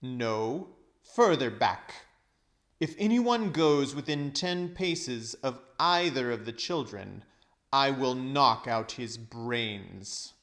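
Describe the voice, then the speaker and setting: A man reading a storybook, voicing a cruel, imperious witch who gives slow, loud, commanding threats. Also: mid-range voice, animated. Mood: menacing, commanding. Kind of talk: storybook character voice.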